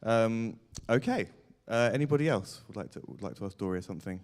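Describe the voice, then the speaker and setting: A man's voice: lowish voice, lively intonation, a little fast, darkish tone. A man hosting a live audience Q&A, who talks casually with hedges and fillers as he takes questions from the floor. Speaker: host and moderator.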